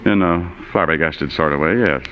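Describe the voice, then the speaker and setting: An actor playing an unflappable, sardonic, slangy hard-boiled private detective in 1940s radio acting style. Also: male voice, extremely low pitch, normal speed, a little hiss or hum. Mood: dry, probing. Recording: dull vintage radio recording, long hall reverb.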